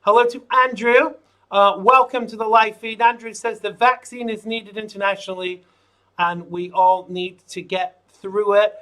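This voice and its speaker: Guy hosting a call-in show, higher voice, bright and forward, lots of ums. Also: steady tone, moderate pace, clear, resonant tone, poor audio. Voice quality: says his voice is low. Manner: chatty, jokey asides and fillers. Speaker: show host.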